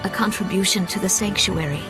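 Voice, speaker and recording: A woman's voice: medium pitch, fairly quick, natural voice. An actress playing a devout, anxious townswoman and mother, earnest, polite and formal, in a fantasy game scene. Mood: grateful. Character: devout, anxious mother pleading with her priest. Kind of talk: dubbed fantasy game cutscene acting. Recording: orchestral music behind the voice.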